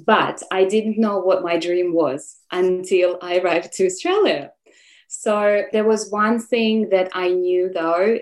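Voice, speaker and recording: A woman from Russia with a Russian accent, telling her own story as a guest in an interview. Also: lowish voice. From Moscow, Russia. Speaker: podcast guest, photographer. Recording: roomy, echoey sound.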